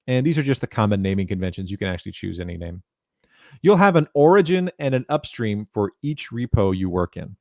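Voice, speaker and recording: Man, thirties, medium pitch, technical presenter, measured explanatory delivery, headset mic, very little room sound. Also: a little mellow, dry recording, studio quality. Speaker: presenter, documentation content developer. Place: small indoor room, home video call.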